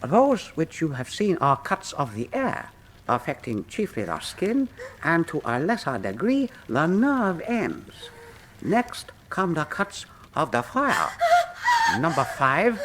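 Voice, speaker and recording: A sadistic, courtly Chinese executioner in a radio drama. His delivery is formal and deliberate, with very slow pacing and sweeping pitch movement. A faint noise sits behind him. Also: heavily acted. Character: courteous, proud, sadistic old-world Chinese master torturer.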